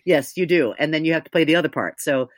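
A woman with a deep voice, an audiobook narrator talking candidly in an interview, thoughtful and self-mocking. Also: quick pace, no room sound.

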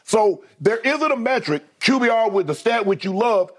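A man's voice: high voice, animated, moderate pace, a bit bright. A man in a sports debate, emphatically listing statistics to make his case and laughing often. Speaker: co-host.

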